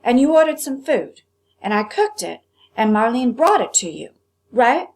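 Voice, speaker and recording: A woman voicing a big, angry male diner owner in a storybook, with forceful, clipped demands. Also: on the high side, sing-song, moderate pace, pitched above the performer's own voice, rough recording. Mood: confrontational.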